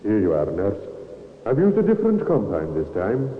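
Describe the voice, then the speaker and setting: A man's voice: on the low side, wildly swooping pitch, normal speed. An actor playing a businesslike male doctor in an old radio drama. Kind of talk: 1950s radio acting. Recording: old radio, narrow band, reverberant room.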